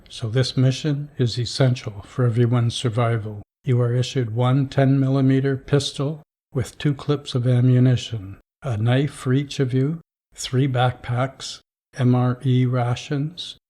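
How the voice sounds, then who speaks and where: An actor playing a stern middle-aged vault overseer who talks in a dry, dismissive, rule-bound way. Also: male voice, medium pitch, mellow, close to an everyday voice. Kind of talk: audio drama acting.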